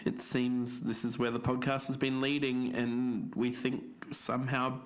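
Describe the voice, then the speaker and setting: A mid-pitched male voice acted by a man plays an uneasy podcast co-host. He talks to listeners in a conversational narrating style, as someone investigating a mystery. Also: little pitch movement, a little slow, lightly acted. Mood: uneasy, candid.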